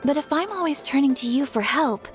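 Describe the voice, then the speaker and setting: A young woman playing a gracious, modest noblewoman in a video game, with polite, formal and measured delivery. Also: sits high, expressive. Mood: modest, confiding. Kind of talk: animated game voice acting. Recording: music playing behind the voice.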